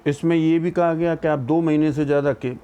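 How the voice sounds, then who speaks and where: A man's voice: a little high, fairly even intonation, fairly quick, warm tone, smooth. An Indian man giving an informal legal explanation, mixing English terms into his speech. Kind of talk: informal spoken legal lecture.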